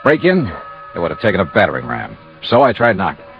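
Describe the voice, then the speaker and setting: Tough American insurance sleuth, lowish male voice, animated delivery, smooth, insistent, vintage radio noir, dull recording. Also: quick pace, soft background music. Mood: wry narration. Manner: wry, hard-boiled, coolly insistent. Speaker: lead detective and narrator. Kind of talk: 1950s radio noir acting and narration. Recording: dull, band-limited old radio recording.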